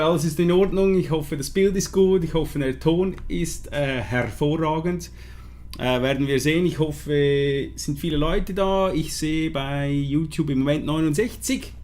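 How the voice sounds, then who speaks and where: Male host with Swiss German accent, hesitant and chatty, mid-pitched. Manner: hesitant, many fillers and restarts. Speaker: livestream host. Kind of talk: bilingual live stream talk.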